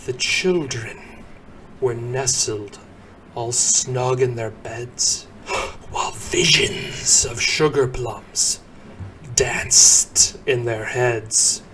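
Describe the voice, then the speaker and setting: A man giving a dramatic recitation of a poem, theatrical, moving between hushed murmurs and booming exclamations. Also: fairly even intonation, very slow, a little hiss or hum, low-quality recording. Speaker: poem reader.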